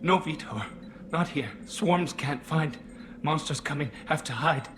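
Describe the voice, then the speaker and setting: An actor playing a traumatized survivor who mutters in halting, repetitive broken phrases. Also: male voice, a little high, close to an everyday voice. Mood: frightened. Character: terrified man babbling in fragments, traumatized survivor. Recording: game audio with clear background noise.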